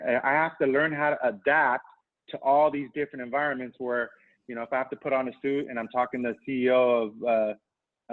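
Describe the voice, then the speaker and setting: A man speaking as a panelist on a video call, earnest and halting, with many restarts and "you know"s. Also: mid-range voice. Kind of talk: casual panel conversation. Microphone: video call mic. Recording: tinny phone-like audio.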